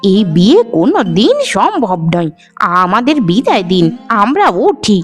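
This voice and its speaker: A woman's voice: medium pitch, big pitch swings, normal speed, soft background music, close to an everyday voice. A woman voicing a harsh, domineering middle-aged village mother in a cartoon, with a scolding, disdainful manner. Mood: scornful, scolding. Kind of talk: cartoon voice acting.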